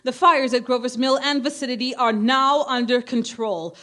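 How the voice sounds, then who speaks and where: An actress playing a grave, formal news announcer in a radio drama, reading bulletins in a measured way. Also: female voice, sits high, pushed and bright. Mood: urgent, formal. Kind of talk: stage radio drama acting as newscaster.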